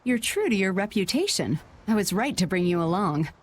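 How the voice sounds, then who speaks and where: A woman's voice: mid-range voice, very animated, fairly quick, a little hiss or hum, lightly acted. A woman voicing a composed, businesslike adult merchant leader in a video game dub. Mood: pleased, composed.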